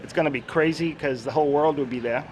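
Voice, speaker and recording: A man's voice: a little high, fast, a little mellow, a little hiss or hum, low-quality recording. A man from Kenya, a podcast guest chatting outdoors in a friendly, hesitant way with many fillers. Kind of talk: casual interview chat. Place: outdoors on campus steps.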